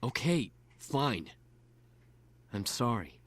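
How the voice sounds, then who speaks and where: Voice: medium pitch, big pitch swings, drawn-out words, full character voice. Actor doing a brash impulsive bad boy, cocky n grudging, voice jumps all over, visual novel style.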